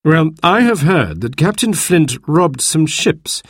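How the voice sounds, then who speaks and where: A man's voice: fairly high pitch, sing-song, slow, slightly rough, no room sound, clean studio sound, voice pushed up, heavily acted. A man voicing a careful, serious older doctor who speaks earnestly.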